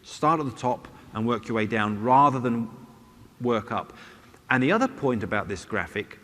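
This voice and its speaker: A middle-aged man presents from a large conference stage with a headset mic. His pitch sits mid-range, and his delivery is chatty, with dry jokes and questions to the audience. A long hall reverb trails his words. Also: lively intonation, moderate pace, fairly bright tone. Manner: conversational, with wry asides and rhetorical questions.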